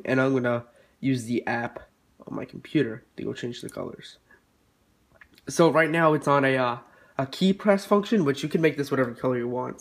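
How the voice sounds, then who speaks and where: A young man with a stuffy cold voice, casually reviewing a product with lots of fillers and restarts. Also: medium pitch. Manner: rambling with frequent fillers and restarts. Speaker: tech reviewer.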